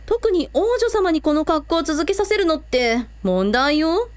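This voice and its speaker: A woman playing a brisk, teasing big sister in a casual, comic way for a Japanese audio drama. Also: very high-pitched, animated.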